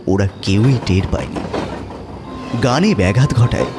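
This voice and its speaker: A man's voice: lowish voice, animated, unhurried, music in the background. A man narrating a scripted horror story as a dramatic storyteller.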